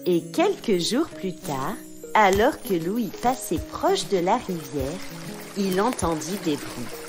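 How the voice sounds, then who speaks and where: A woman narrating a children's tale in an expressive, scripted storytelling style, phrasing clearly for young listeners. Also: on the low side, slow, music in the background.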